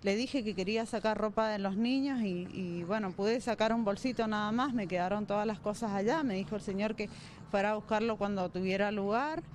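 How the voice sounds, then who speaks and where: A woman in her thirties with an Argentine accent, answering a reporter's questions on location in a soft, halting way and repeating her words. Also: medium pitch, a little hiss or hum. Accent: Argentine Spanish. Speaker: interviewee. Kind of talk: on-location news interview. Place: outdoors by a brick shack, then a small room. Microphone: handheld reporter's mic.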